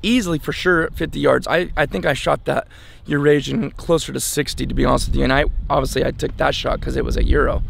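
A man's voice: on the high side, fairly even intonation, fast talker. An American man in his forties hosting a casual hunting vlog, explaining things plainly and informally outdoors. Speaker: hunting channel host. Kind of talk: casual product test vlog. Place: outdoors in an open dry yard. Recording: clear outdoor ambient noise.

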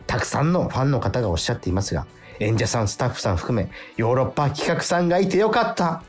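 Male radio host with lively, swinging pitch. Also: quick pace. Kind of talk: casual radio chat, reading listener letters aloud. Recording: music playing behind. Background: background music.

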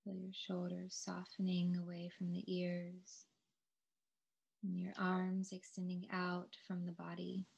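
A woman yoga teacher leading a guided relaxation in a calm, soothing, measured way, with long pauses between phrases. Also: fairly low pitch, creaky, slightly rough, muffled recording.

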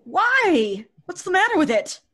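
A woman's voice: very high voice, big pitch swings, measured pace, fairly bright tone, full character voice. A woman playing a troubled, haunted wife with a hesitant, dreamy manner in an old-time radio drama. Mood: anxious, intent.

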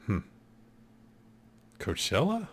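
A man in his thirties with a deep voice, casually commenting while he plays a game show video game at his desk at home. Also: very slow, a bit bright, slight background noise. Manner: laid-back, joking, thinking aloud. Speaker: streamer.

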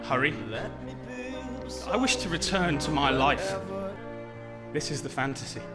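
An actor playing a shaken man facing his own deletion, speaking quietly. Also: a little high, unhurried, close to an everyday voice. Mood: afraid. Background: music.

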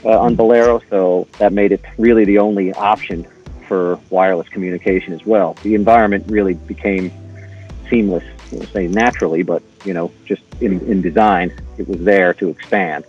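Low, husky man explaining tech. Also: fairly even intonation, a little mellow, muffled recording, faint music behind. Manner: halting, explanatory, step by step. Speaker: podcast guest, intercom engineer. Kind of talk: informal technical walkthrough.

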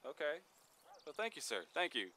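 A man's voice: on the high side, big pitch swings, moderate pace, sharp tone, faint music behind. A man playing an eager, chatty traveling husband in a drama, with a polite and playful manner. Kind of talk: acted drama dialogue.

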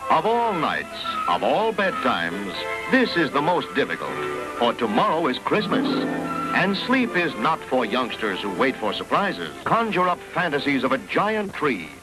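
A man's voice: medium pitch, huge pitch swings, a little slow, loud music behind the voice, low-quality recording. An American man reading a dramatic, poetic scripted narration in a storytelling manner. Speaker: film narrator.

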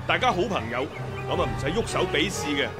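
Cunning imperial villain, Hong Kong Cantonese radio drama, smug, high voice, loud music behind. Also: male voice, sing-song, fast. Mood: smoothly menacing.

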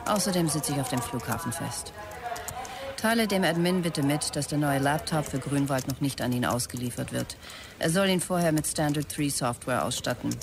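A man's voice: on the high side, moderate pace, vocal fry, noisy background. An actor playing a visionary, demanding male startup executive in a radio drama, speaking tersely and emphatically. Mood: harried, curt. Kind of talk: radio drama, characters dictating messages.

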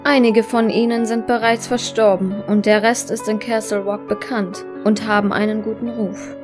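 A woman's voice: mid-range voice, little pitch movement, normal speed, music in the background, close to an everyday voice. A woman playing a sober police detective, reading a formal report matter-of-factly in an audio drama.

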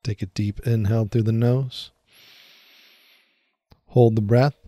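A man leading a guided meditation in a soothing, unhurried way, speaking in short phrases with long pauses. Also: lowish voice, steady tone, mellow, no echo.

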